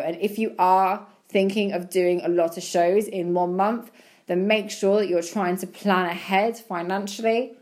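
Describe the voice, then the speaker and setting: A woman giving chatty, encouraging advice about bodybuilding show prep, her voice slightly croaky. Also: mid-range voice. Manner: chatty, encouraging, repeats words for emphasis. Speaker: bodybuilding competitor and podcast host. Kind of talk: casual advice monologue.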